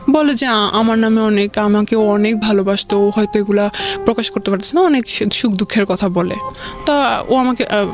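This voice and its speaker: A young woman casually telling a personal story as a radio guest, in a hesitant, halting way. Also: mid-range voice. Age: young adult.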